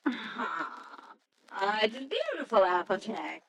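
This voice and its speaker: A woman's voice: mid-range voice, big pitch swings, drawn-out words, vocal fry, crowd noise, heavily acted. A woman playing an affectionate, sentimental young mother in an old-time radio drama, tender and gushing. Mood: delighted, touched.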